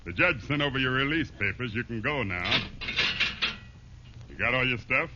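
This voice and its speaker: A man's voice: medium pitch, animated, moderate pace, heavy background noise, natural voice. Curt radio sheriff, played by a man. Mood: matter-of-fact. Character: businesslike, curt male sheriff. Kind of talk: 1950s radio sitcom acting. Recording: muffled old radio recording.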